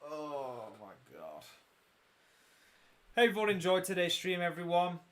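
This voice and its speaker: Croaky-voiced young man streaming a game. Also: high voice, big pitch swings, measured pace, fairly bright tone. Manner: chatty, self-mocking, explains game mechanics. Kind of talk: casual livestream commentary.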